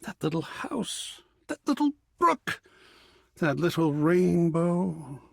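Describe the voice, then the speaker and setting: Wildly swooping, effusive art curator. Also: male voice, a little high, big pitch swings, slow, creaky, dry recording, clean studio sound, full character voice. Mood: delighted. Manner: effusive, erudite, precisely enunciated, dramatic. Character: excitable, cultured art object come to life, gallery curator. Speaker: video game character. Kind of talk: theatrical game dialogue.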